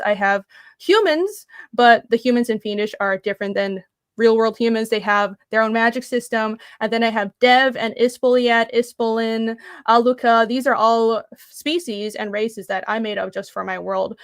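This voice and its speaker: A woman's voice: fairly high pitch, no room sound, studio quality. A young woman from Taiwan talking about her comics in a casual interview, earnest and thoughtful with many fillers. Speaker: comic creator guest.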